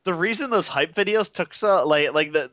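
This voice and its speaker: A man's voice: high-pitched, normal speed, a bit bright, no room sound, clean studio sound. A man who develops games, talking eagerly in a casual interview and laughing often. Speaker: game developer guest.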